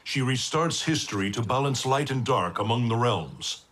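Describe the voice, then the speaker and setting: A man playing a wise, solemn thunder god in a video game, speaking in a grave, formal, measured way. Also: mid-range voice, expressive, fairly bright tone. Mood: grave, resolute.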